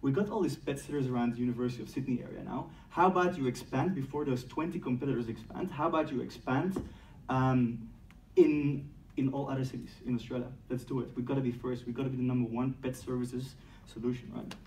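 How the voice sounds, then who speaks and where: A man in his thirties from Belgium with a Belgian French accent, giving a playful, energetic startup talk on stage and acting out dialogues. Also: medium pitch, quick pace, a little hiss or hum. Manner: playful, acts out dialogues, laughs at own jokes. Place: large dark event room on a stage. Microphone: distant mic, speaker far from camera. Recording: roomy sound from a distant camera.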